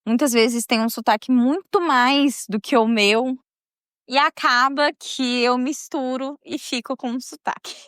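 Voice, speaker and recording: A woman with a strong Mineiro accent tells a real-life story on her podcast, chatty and quick to laugh. Her voice sits a little high, and the recording is dry and close. She talks straight to her listeners as if they were friends. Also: a bit bright, no room sound. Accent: Mineiro (Minas Gerais). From Minas Gerais, Brazil. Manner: chatty, laughs often, addresses listeners directly. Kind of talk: casual storytelling narration.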